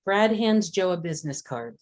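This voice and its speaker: A woman's voice: on the low side, big pitch swings, normal speed, a little mellow. A woman reading stage directions and scene descriptions as scripted narration at a table read.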